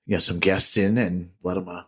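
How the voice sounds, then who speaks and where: A man with a low, husky voice co-hosts a laid-back beer podcast. He rambles through jokey tangents and laughs often. The sound is tinny and echoey, from a small home studio room. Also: little pitch movement, brisk. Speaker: podcast co-host. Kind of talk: casual chat.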